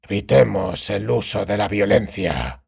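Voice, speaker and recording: A man performs an icy monster hunter from a fantasy audiobook. He speaks low and gravelly, measured and deliberate, keeping himself tightly controlled. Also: a little mellow, full character voice. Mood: cool diplomacy. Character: cold, controlled male witcher bargaining for time. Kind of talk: dramatized fantasy audiobook dialogue.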